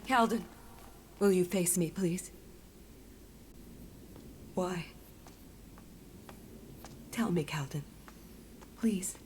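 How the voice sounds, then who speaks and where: An actress playing a tender, sorrowful woman in a fantasy video game, speaking gently and hesitantly. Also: lowish voice, very slow, pauses often, a little hiss or hum. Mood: pleading.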